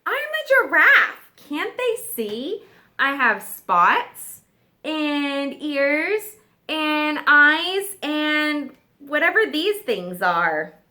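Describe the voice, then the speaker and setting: A woman voicing an exasperated young male giraffe in a children's storybook, anxious but indignant, protesting with drawn-out emphasis. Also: sits high, big pitch swings, pushed and bright, heavily acted.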